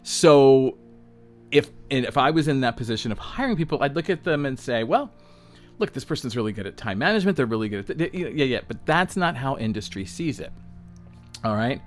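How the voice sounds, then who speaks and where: A man's voice: mid-range voice, animated, a little fast. A man in his sixties talking casually and bluntly, swearing freely, mocking himself and checking in with the listener. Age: 60s. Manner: blunt, profane, self-mocking, lots of "um" and "all right?". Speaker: podcast host, self-employed designer. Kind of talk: unscripted solo talk.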